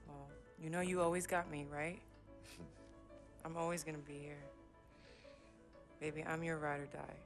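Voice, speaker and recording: Athlete's young girlfriend: female, lower register, coaxing, soothing intimacy, game cinematic, soft music underneath. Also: level tone, measured pace, long pauses. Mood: devoted, coaxing. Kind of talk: video game cutscene acting.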